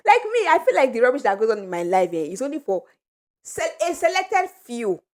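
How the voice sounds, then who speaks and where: A woman co-hosting a casual podcast chat with friends, telling animated stories and laughing often. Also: fairly high pitch, a little fast. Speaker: podcast co-host.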